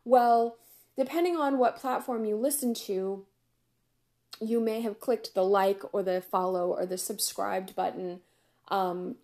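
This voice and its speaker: A woman hosting a casual solo podcast, chatty and upbeat with frequent laughs, her voice going hoarse. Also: mid-range voice, a little mellow. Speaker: podcast host.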